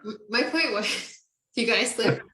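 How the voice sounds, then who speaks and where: A woman hosts a relaxed interview, her talk full of fillers and quick laughs. Her voice sounds roomy, with a noticeable echo around it. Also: fairly high pitch. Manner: bubbly, filler-heavy, laughs often. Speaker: podcast host. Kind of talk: casual interview.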